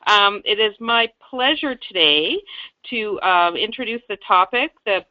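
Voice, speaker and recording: A woman's voice: medium pitch, expressive, unhurried, no room sound. Woman hosting a lo-fi webinar. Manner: hesitant, many fillers and restarts. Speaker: webinar host. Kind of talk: casual webinar introduction. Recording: dull lo-fi webinar audio.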